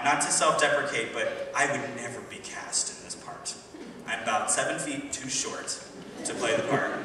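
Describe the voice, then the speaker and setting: A man in his thirties, a singer, chatting casually and self-deprecatingly to a concert audience from the stage. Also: mid-range voice. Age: 30s. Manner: chatty, self-deprecating, says okay and like often. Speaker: singer introducing a concert piece. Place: school auditorium on stage. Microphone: distant room mic. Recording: echoey hall, distant, with noise.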